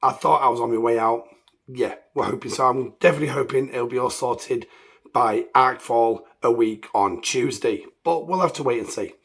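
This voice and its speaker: Croaky, gravelly man reviewing a game. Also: mid-range voice, moderate pace. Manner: rambling, with many ums and self-corrections. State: croaky voice from illness. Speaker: gaming channel host. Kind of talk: casual game review commentary.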